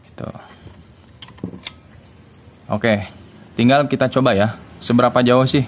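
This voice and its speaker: A man reviews a product in an informal, explanatory way. His voice sits at a middling pitch. The recording carries clear background noise. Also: steady tone, slow. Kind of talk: casual spoken product demonstration.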